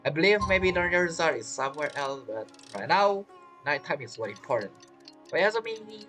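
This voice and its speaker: A man's voice: a little high, animated, normal speed, a bit bright, soft background music. A man giving casual gameplay commentary as a streamer, rambling and playful, with non-native phrasing. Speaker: game streamer.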